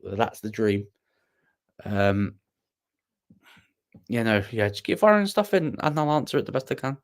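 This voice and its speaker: Guy rambling on a stream, bit low voice, lots of ums, pokes fun at himself. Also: big pitch swings, measured pace. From Wales. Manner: rambling, self-deprecating, lots of ums and restarts. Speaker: livestream host. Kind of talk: casual livestream chat answering viewers.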